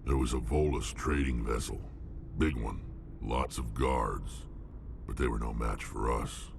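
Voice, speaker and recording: An actor playing a gruff, hulking alien mercenary in a video game, blunt and menacing, with a gravelly rumble. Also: male voice, measured pace, full character voice. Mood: blunt, wary. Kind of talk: video game character dialogue.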